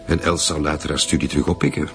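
A man's voice: extremely low pitch, steady tone, quick pace, fairly bright tone, soft background music. A young man playing a bereaved, defensive boyfriend in a naturalistic radio drama. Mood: earnest, defensive. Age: young adult.

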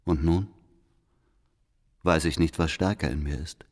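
An actor playing a scheming informant who reads out his letter in a formal, deliberate, insinuating way in a historical radio drama. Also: extremely low pitch, fairly even intonation. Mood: sly, reverent. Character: male secret informant reading his letter, reverent, scheming. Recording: long cavernous reverb.

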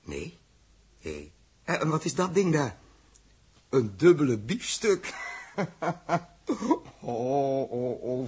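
An actor playing a troubled, indulgent man with a husky voice and a hesitant, musing manner, in 1960s Dutch radio drama style. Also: medium pitch, heavily acted. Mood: flustered. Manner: hesitant, musing, thinking aloud. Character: troubled, indulgent grown man humouring a little girl.

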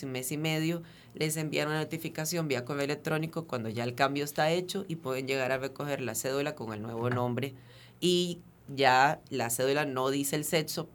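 A man from Venezuela speaks as an activist guest on a talk program, explaining procedures in earnest detail. He often pauses on fillers and restarts his phrases, in a voice of ordinary pitch. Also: fairly bright tone. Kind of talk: radio interview, explanatory.

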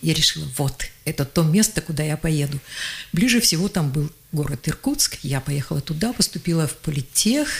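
An older woman, a journalist and geologist, telling lively anecdotes as a guest on a radio interview and acting out the voices she quotes. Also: low-pitched, a little fast, vocal fry.

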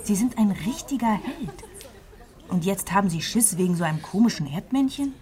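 A woman's voice: mid-range voice, expressive, normal speed, dark tone, lightly acted. An actress playing a provocative young woman in a radio drama, talking in a cheeky, colloquial, goading way. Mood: teasing, provocative. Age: young adult.

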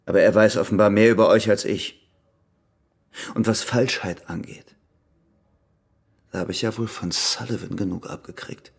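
A jealous, morphine-using ex-convict in a crime radio play. His low voice is very hoarse and crackles with fry. He is terse, brooding and sardonic. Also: fairly even intonation, moderate pace, long pauses. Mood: bitter, sardonic. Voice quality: gravelly, husky. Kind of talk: radio crime drama acting.

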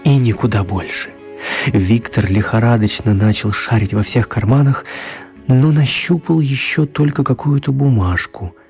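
A man narrating a scripted story for a radio play, with dramatic, deliberate phrasing. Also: mid-range voice, darkish tone, slightly rough, dry recording.

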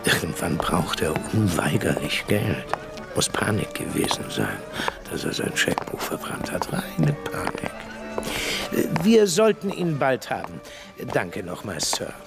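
An actor playing a brisk, methodical police inspector in a radio drama, deliberate and probing. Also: male voice, deep voice, sing-song, creaky, loud music behind the voice, full character voice. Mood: businesslike. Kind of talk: radio play acting.

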